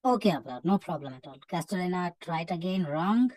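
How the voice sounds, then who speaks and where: Indian female online English teacher, lowish voice, brisk and instructive, close dry recording. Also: lively intonation, a little mellow, no echo. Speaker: English teacher running a live online reading practice class. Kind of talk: live interactive lesson, calling out names and marking answers.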